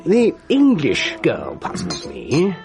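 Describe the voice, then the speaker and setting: An actor playing a genteel, fussy old bachelor gentleman in a radio drama, telling his story precisely with theatrical pauses. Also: fairly high pitch, huge pitch swings, music in the background, full character voice. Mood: storytelling.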